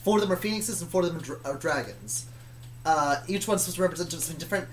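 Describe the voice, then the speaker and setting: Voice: mid-range voice, very fast, slight background noise. A person telling stories about their own fictional universe in a casual, rambling, hesitant way, often correcting themselves. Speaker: storyteller.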